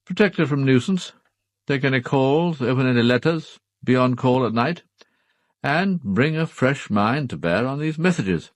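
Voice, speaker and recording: An actor playing a formal, upper-class British knight in a radio drama, speaking in a clipped, matter-of-fact way. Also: male voice, medium pitch, lively intonation, moderate pace, no echo, close to an everyday voice. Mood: brisk, businesslike. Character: formal upper-class husband, a titled knight hiring a detective.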